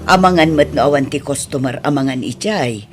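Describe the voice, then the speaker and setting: A woman playing a gentle middle-aged mother and loving wife, affectionate and emotive, in Ilocano audio drama style. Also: very deep voice, fairly quick, a little hiss or hum. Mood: chatty.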